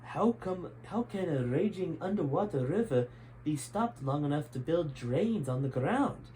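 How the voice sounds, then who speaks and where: A man reads as a bewildered taxi driver in an adventure storybook. His voice lifts higher as he questions everything in confusion, with faint noise behind. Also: animated, unhurried, dark tone, low-quality recording. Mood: confused.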